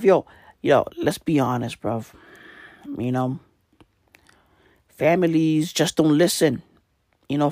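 A young man with a hoarse, raspy voice that crackles into fry. He rambles in a laid-back, profane way, heavy on the fillers, and the sound is dry and close with no room around it. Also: on the high side, darkish tone, dry recording. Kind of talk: casual rambling monologue.

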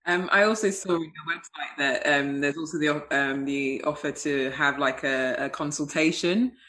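A man's voice: a little high, normal speed, bright, forceful tone. A man from Nigeria and the UK chatting casually as a podcast co-host. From Nigeria and, from age eight, the UK. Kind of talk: casual conversational interview.

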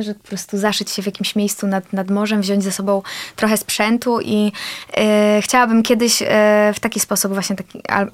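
A young woman, a singer and interview guest, chatting casually in a rambling way and laughing often. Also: mid-range voice. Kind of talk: casual conversation.

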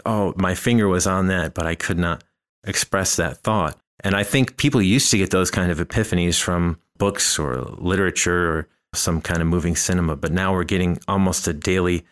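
A man's voice: deep voice, clear, resonant tone. A man hosting a podcast, talking through ideas in a thoughtful, rambling way, often restarting his phrases. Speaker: podcast host. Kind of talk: casual conversational discussion.

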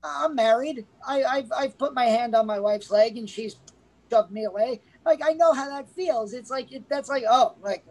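A man's voice: very high voice, normal speed, a little mellow, rough recording. A man co-hosting a casual film review podcast, rambling with many restarts and fillers. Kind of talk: casual chat.

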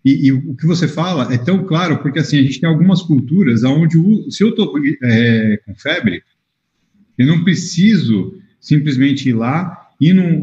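A man's voice: medium pitch, a little mellow. A man speaking thoughtfully on a video call, often restarting his sentences. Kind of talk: casual chat. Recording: echoey room, dull lo-fi call audio.

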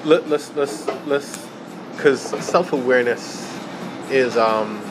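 A man's voice: medium pitch, slow. Stammering male interviewer. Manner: brief backchannels, stammering restarts. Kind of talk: casual interview talk. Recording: steady background noise.

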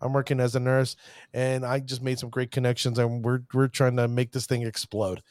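A man's voice: medium pitch, little pitch movement, moderate pace, a little mellow, dry recording. Hype-driven host man, dry close sound. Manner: showman flourishes, stumbles over names. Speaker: podcast host. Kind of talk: hype-filled casual show talk.

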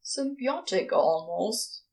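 A woman voicing a gruff, lovestruck male celestial being, confessing in halting, casual, clipped speech for audio fiction. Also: mid-range voice, lively intonation, a little mellow. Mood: halting, earnest.

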